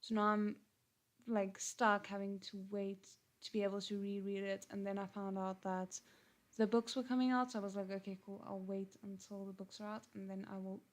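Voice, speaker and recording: A woman with a South African accent chatting casually on a livestream, rambling with frequent restarts and fillers. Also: mid-range voice. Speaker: channel host.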